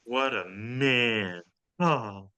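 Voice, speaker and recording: A man's voice: a little high, normal speed, bright, forceful tone, smooth. A man playing a kindly, flirtatious, motherly diner waitress in a comedy table read, with a folksy, encouraging manner. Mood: sweet, encouraging.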